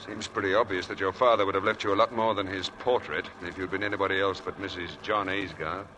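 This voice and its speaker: An actor playing a charming, evasive young husband with smooth, persuasive patter, in 1940s radio drama style. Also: male voice, low-pitched, fairly even intonation, fast talker, dull audio, close to an everyday voice. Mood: tender, evasive. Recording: noisy old 1940s radio broadcast.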